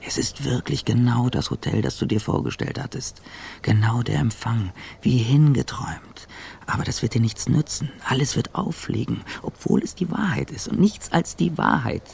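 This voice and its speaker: An actor playing an anxious, self-accusing traveller in a radio drama, speaking an intimate, brooding inner monologue. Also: male voice, medium pitch, normal speed, gravelly, darkish tone, slightly rough, heavily acted. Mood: feverish, wistful. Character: anxious, self-accusing male business traveller, inwardly narrating.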